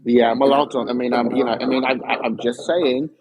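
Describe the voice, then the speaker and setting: A man's voice: medium pitch, fairly even intonation, a little fast, lo-fi sound, over music. A man in a casual group chat, making wry, dry jokes. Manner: wry, dryly joking. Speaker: live stream panelist.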